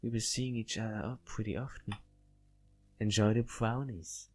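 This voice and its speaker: A man's voice: on the low side, fairly even intonation, moderate pace, voice pushed up, natural voice. A man voicing a sweet, coy, obsessive young woman from a visual novel, in a lilting, teasing way. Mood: coy, menacing. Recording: faint background music.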